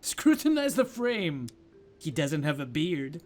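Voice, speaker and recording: A man's voice: a little high, very animated, slow, a little mellow, faint music behind. A man giving casual commentary as he plays a video game, muttering to himself as he decides what to do. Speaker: gamer commentating on playthrough.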